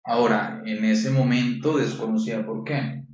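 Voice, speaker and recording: A man's voice: mid-range voice, steady tone, darkish tone. Colombian-born man in an echoey room. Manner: measured, reflective, with frequent restarts. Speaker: guest, neurolinguistic programming coach. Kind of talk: interview answers. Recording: echoey room, distant dull mic.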